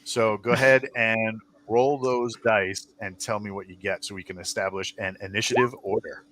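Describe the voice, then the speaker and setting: A man narrating a tabletop role-playing game as game master, improvising scenes in a halting, descriptive way. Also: on the low side, fairly even intonation, a bit bright, rough recording.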